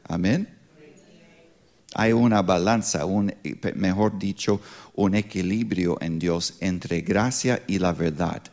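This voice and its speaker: A man in his sixties with an American accent, a non-native speaker with English as first language, preaching informally as a missionary in halting speech with grammatical slips. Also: deep voice, steady tone, darkish tone. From Pittsburgh, United States. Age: 60s. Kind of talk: sermon with informal introduction.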